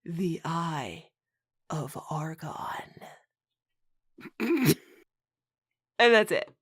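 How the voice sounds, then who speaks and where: A woman's voice: low-pitched, sing-song, drawn-out words, a bit bright, breathy edge. A woman hosting a comedic read-aloud with casual chat, often breaking into laughter as she talks. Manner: giggly, breaks into laughter while talking. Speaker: host and reader.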